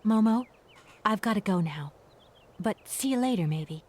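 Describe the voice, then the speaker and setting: Actress as inquisitive woman, game heroine, mellow tone, polite, coaxing, conversational. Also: mid-range voice, animated, normal speed, natural voice. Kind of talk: video game dialogue acting.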